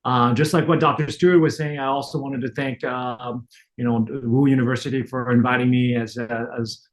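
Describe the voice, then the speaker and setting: A middle-aged man from France, with no trace of a French accent, giving a relaxed online lecture, full of fillers and restarts. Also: medium pitch, moderate pace. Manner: frequent fillers and self-restarts, conversational. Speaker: optometrist lecturer. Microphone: online webinar.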